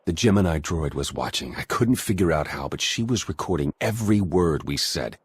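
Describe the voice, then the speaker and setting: An actor playing an earnest undercover spy in a video game, husky-voiced, urgent and pleading. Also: male voice, deep voice, lively intonation, a little fast, dry recording, heavily acted. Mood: pleading, heartfelt. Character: earnest male spy working undercover among traitors. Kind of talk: dramatic video game acting.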